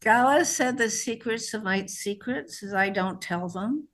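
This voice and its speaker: A woman's voice: fairly high pitch, a little slow, a bit bright. Woman, middle-aged, author being interviewed, chatty, restarts sentences, New York upbringing. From born in New Mexico, raised in New York. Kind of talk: conversational interview.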